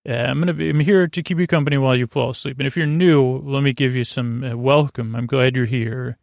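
A man with a creaky voice hosting a bedtime podcast, speaking in a meandering, halting monologue full of goofy tangents and self-interruptions. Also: medium pitch, fairly even intonation, no echo. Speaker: podcast host. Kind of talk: rambling bedtime monologue for sleep.

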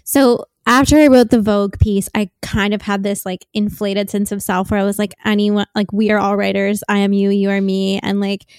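A woman's voice: medium pitch, little pitch movement, normal speed, few pauses, a little mellow, smooth, no room sound. A woman in her thirties co-hosting a podcast, musing and rambling casually with frequent "like.". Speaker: podcast co-host, writer. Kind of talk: casual chat.

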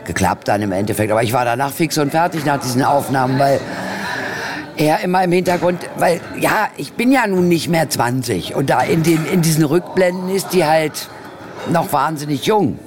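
A middle-aged woman with a deep voice, chatting casually as an interview guest. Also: expressive, moderate pace. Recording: busy crowd around the voice. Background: chatter of a crowd.

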